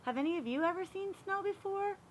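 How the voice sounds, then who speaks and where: A woman reading a picture book aloud to young children, animated and expressive, pausing to explain and ask questions. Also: extremely high pitch, slight background noise. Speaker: read-aloud storyteller for children.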